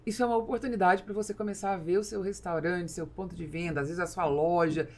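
A woman's voice: fairly low pitch, steady tone, fast. A woman in her forties with a Brazilian accent, a podcast host explaining things to listeners in an instructive, conversational way. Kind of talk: casual explanatory chat, addressing listeners. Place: small foam-treated podcast studio. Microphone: close studio mic on boom arm.

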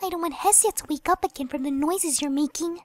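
A woman voicing a timid, soft-spoken young mother pony in an animated radio play, polite and soothing. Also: sits high, fast, close to an everyday voice. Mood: concerned.

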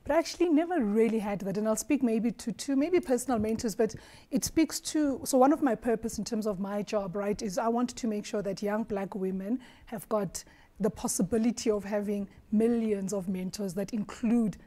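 A woman from Eswatini on a studio panel, telling stories in an animated way and laughing often, recorded on a lapel mic. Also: medium pitch, normal speed. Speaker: marketing executive panelist. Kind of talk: roundtable discussion. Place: dark studio set at a round table.

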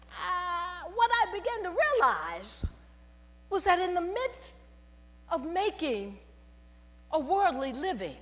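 An older woman in a purple robe giving a spoken testimony from the pulpit, telling stories and calling out to the congregation. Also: extremely high pitch. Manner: animated storytelling with call-and-response. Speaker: church speaker giving testimony. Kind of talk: testimony sermon. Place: large church sanctuary at pulpit. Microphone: headset mic. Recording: long church reverb with mains hum.

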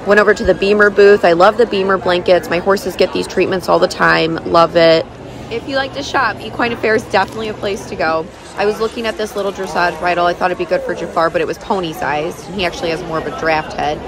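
A woman's voice: on the low side, fairly even intonation, fast talker, crowd noise. A woman in her thirties narrating a casual vlog in a gushing, enthusiastic manner. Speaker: vlogger and horse owner.

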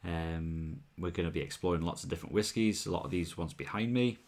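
A man's voice: low-pitched, a little fast, a little mellow. A young man from Wales with a mild Welsh accent, hosting a casual whisky livestream at home, chatting and answering viewers' comments through a wired earphone mic. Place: small room at home, bottles on a shelf behind.

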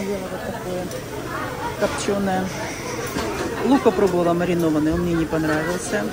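Woman, low-pitched voice, casual chatty vlog, phone mic, loud crowd all around. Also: heavy background noise. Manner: chatty, thinking aloud, self-teasing. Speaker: vlogger. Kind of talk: casual walking tour narration. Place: cruise ship buffet restaurant, indoors. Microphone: handheld phone camera.

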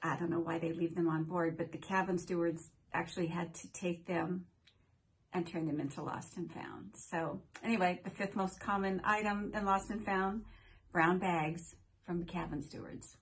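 A woman's voice: lowish voice, fairly even intonation, moderate pace, a little hiss or hum. An older woman hosting a home vlog, telling stories in a friendly way with playful asides, recorded at desk distance in a small room. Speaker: cruise vlogger host. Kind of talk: chatty countdown vlog.

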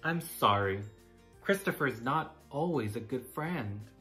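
A man voicing a caring, gently firm mother in a children's storybook. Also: medium pitch, animated, slow, faint music behind. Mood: reassuring.

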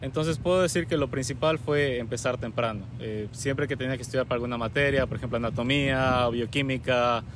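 A young man from Ecuador, answering interview questions in a calm, measured way with frequent pauses. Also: medium pitch, background noise. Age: young adult. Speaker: guest, recent medical graduate. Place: outdoors on a university campus plaza with trees.

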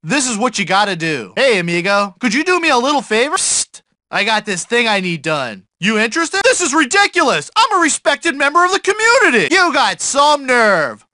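A man's voice: very high voice, very animated, normal speed, bright, forceful tone, dry recording, studio quality, heavily acted. A man playing an irritable, self-important, easily flustered small-town mailman in a video game, whiny and indignant with folksy slang. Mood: conspiratorial. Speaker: video game character voice actor. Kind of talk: exaggerated cartoonish video game acting.

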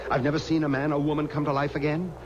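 A man's voice: fairly high pitch, level tone, quick pace, natural voice. An actor playing a stubborn, God-fearing townsman in an earnest, insistent, theatrical 1970s radio drama style. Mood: resolute, impatient. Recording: muffled old radio recording with noisy ambience.

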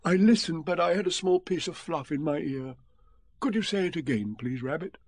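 A man reading a storybook aloud, voicing a slow, dreamy, muddled male teddy bear. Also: a little high, expressive, no room sound, clean studio sound, pitched above the performer's own voice. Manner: slow, vague, gentle.

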